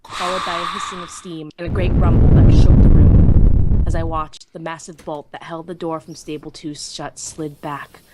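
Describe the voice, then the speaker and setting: A woman's voice: on the low side, noisy background. A woman narrating a scripted first-person story dramatically, as in a fan audiobook.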